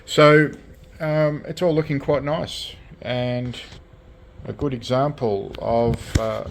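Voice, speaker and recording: An Australian man giving a casual hands-on show-and-tell as a hobbyist restorer, speaking in halting, piecemeal phrases. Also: mid-range voice, fairly even intonation. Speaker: radio restorer presenting own collection. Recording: clear background noise, hobby video.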